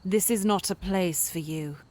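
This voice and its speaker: A woman voicing an eerie, cryptic, detached young woman in a horror video game, speaking in a deadpan, deliberate way. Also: fairly low pitch, lightly acted. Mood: ominous, detached.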